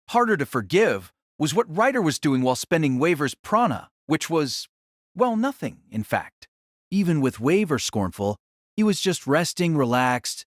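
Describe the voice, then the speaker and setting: A man's voice: on the high side, dry recording, clean studio sound. A man reading scripted dramatic story narration as the narrator of an audio drama.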